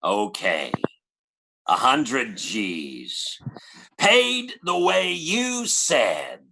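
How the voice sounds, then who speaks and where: A man's voice: mid-range voice, huge pitch swings, very slow, vocal fry, pushed and bright, husky, heavily acted. A man playing a tough, slangy gangster who blusters indignantly in an old-time radio detective drama. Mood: wary bargaining. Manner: streetwise hardboiled slang, exclamatory.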